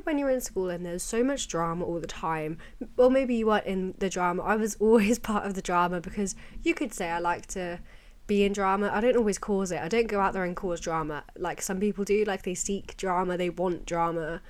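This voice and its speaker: A young British woman hosting a casual, chatty solo podcast, rambling and confiding, her voice a little croaky from a cold and sore throat. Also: medium pitch, fairly quick. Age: young adult. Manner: informal, rambling, confiding, peppered with "like" and "you know". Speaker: podcast host.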